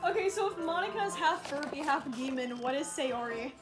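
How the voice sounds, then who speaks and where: A woman's voice: sits high, lively intonation, normal speed. A young woman chatting casually and reading a visual novel aloud as host, in a playful, theatrical and jokey way. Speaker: host of a comic game read-through. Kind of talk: casual, jokey chat and mock voice acting over a visual novel. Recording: music behind the voice.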